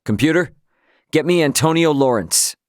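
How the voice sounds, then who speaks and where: A man's voice: fairly high pitch, expressive, moderate pace, no echo, clean studio sound, close to an everyday voice. An actor voicing a brash, loyal young male spaceship captain in a video game, with an American accent and a casual, cocky, emphatic way of talking. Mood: easygoing, friendly.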